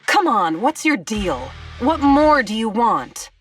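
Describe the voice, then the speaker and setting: A woman's voice: on the high side, big pitch swings, takes it slowly, distant mic, loud music behind the voice. A woman voicing a sly, proud young cat-person mercenary in a video game, haughty and exasperated. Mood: dismissive.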